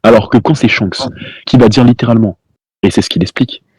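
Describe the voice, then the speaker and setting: A man's voice: lowish voice, fast, darkish tone, slightly rough, no echo. A man streaming from home, arguing heatedly with a caller, repeating himself, talking over the other person and throwing insults. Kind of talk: heated live-stream argument.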